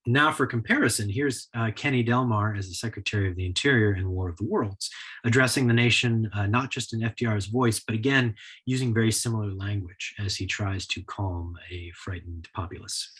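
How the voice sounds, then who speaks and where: American guy doing a history lecture, lowish husky voice, reading prepared notes. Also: a little fast, a bit bright.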